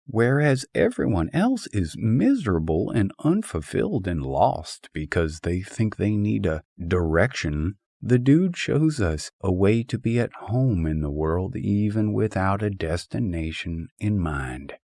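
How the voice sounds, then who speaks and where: Audiobook narrator: man with a fairly deep, very varied delivery, wry and expressive on humorous philosophical prose. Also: a little slow, vocal fry, few pauses, dry recording, studio quality.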